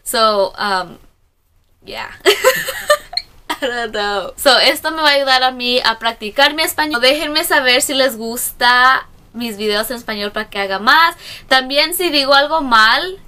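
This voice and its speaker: A young woman with a bright, slightly high voice talks through a casual makeup tutorial in a small room, speaking to a camera close to her face. She is a native English speaker who hesitates, corrects herself and slips English words in. Faint music plays underneath. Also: animated, a little slow. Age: young adult. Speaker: beauty vlogger.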